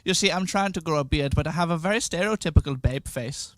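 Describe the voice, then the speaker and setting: A dry, close recording of a man acting a baby-faced storybook pig. He is fussy and earnest, speaking theatrically in a fairly high voice for a comic radio play. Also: fast, fairly bright tone, dry recording, lightly acted. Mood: earnest, aggrieved.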